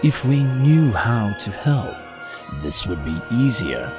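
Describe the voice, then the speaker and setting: A man playing a courteous, tactful royal advisor in an audio drama, with formal and measured phrasing. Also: mid-range voice, sing-song, over music. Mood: concerned.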